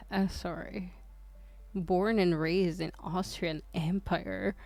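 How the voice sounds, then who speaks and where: A woman's voice: on the low side, steady tone, slow pace, gravelly, a little mellow, dry recording. A woman from Croatia, chatting casually on a livestream, hesitant and rambling with lots of fillers and restarts. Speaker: streamer.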